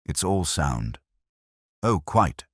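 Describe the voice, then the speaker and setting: A man's voice: deep voice, sing-song, very slow, fairly bright tone, breathy edge, no room sound, studio quality, exaggerated voice. A man voicing an earnest, questioning companion to a detective in an audiobook. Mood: inquiring. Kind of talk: audiobook detective story dialogue.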